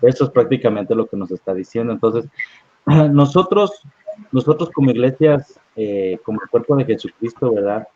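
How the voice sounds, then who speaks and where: A man teaching a Bible study over a live online stream, his voice sitting in the middle of the male range. He reads verses slowly and keeps asking his listeners "¿verdad?". The audio is dull and lo-fi, like a video call. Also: mid-range voice, lively intonation, darkish tone, husky, no room sound. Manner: unhurried, reads scripture aloud, often adds "¿verdad?".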